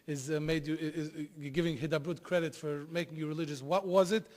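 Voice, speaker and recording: A man's voice: fairly high pitch, fairly even intonation, fast talker, a little mellow. Man host piling up questions. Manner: probing, asks stacked questions. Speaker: interview host. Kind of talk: TV interview.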